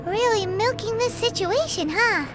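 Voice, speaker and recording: A woman voicing a tiny, bubbly and bossy fairy girl companion in a video game, with a squeaky, childlike voice. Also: moderate pace, loud music behind the voice. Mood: teasing, scolding. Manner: squeaky, childlike, refers to self by name.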